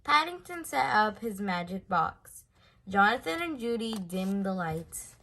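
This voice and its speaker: A woman reads a children's storybook aloud with a bright, forceful voice. Her pitch moves in lively swoops as she leans on key words, giving an expressive storytelling delivery. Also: unhurried. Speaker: storybook reader.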